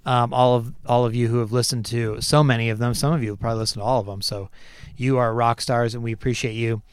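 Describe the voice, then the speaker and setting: A man's voice: medium pitch, fairly even intonation, fast talker, smooth, no room sound. A man hosting a podcast in casual chat, losing his voice and rambling with many fillers and restarts. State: losing his voice, clearing his throat. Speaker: podcast host. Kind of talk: casual chat, reading a sponsor spot.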